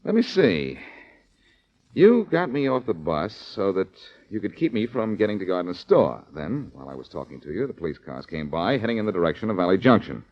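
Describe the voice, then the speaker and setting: Wry male sleuth, low voice, lively swings, dull vintage radio sound. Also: fairly quick. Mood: confident deducing. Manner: wry, self-assured. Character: cocky, quick-witted male amateur detective. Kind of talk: 1940s radio detective drama acting. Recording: muffled old radio recording.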